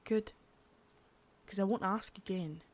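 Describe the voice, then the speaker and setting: A woman's voice: medium pitch, slow. A woman voicing a stern, foul-mouthed female boss in an ASMR roleplay, harsh and cold, often dropping to a whisper.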